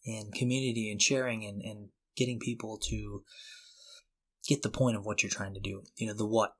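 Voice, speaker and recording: A man's voice: fairly low pitch, level tone, moderate pace, fairly bright tone. Earnest, enthusiastic man in casual chat. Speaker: podcast co-host. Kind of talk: casual conversation.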